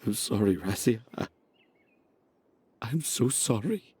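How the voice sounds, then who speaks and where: An actor playing a gentle, self-reproachful middle-aged father in a video game, with a halting, apologetic delivery. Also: on the low side, animated, a little hiss or hum. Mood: dejected, ashamed. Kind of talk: video game character acting.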